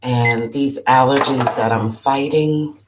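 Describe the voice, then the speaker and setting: A woman's voice: extremely low pitch, steady tone, slow pace, very noisy. A woman hosting a casual live-stream chat as a psychic life coach, her voice froggy from allergies, chatty and greeting viewers by name.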